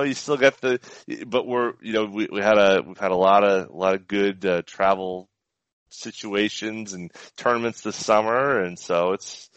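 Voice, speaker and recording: Dull, slightly lo-fi audio carries a low-voiced American man co-hosting a sports podcast. He chats in an easygoing, conversational way and often repeats phrases. The sound is dry and free of echo. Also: fairly bright tone, clear, resonant tone. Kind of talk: casual sports chat. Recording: dull, band-limited audio.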